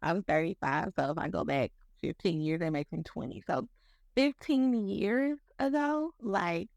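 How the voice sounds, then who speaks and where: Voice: mid-range voice, measured pace, vocal fry, no room sound, clean studio sound. A speaker in their mid-thirties talking about faith on a podcast, in a rambling way, leaning on "like" and "you know" and repeating words. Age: 30s. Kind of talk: casual faith talk.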